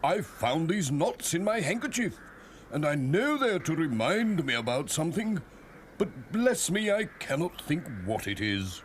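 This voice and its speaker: A man plays an older British country vicar in a cartoon, absent-minded and genteel. He dithers fretfully in a slightly high voice that swoops about. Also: very animated, measured pace, a little hiss or hum. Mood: muddled, fretful. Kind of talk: children's cartoon character voice.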